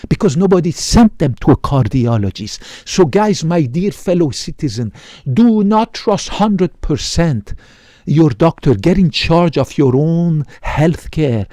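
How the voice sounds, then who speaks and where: A man's voice: a little high, animated, unhurried, mellow, no room sound. A middle-aged man, a physician, lecturing viewers to camera in an emphatic way, often tagging lines with "right?". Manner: emphatic, keeps asking "right?"; repeats words and restarts. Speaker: physician giving health advice.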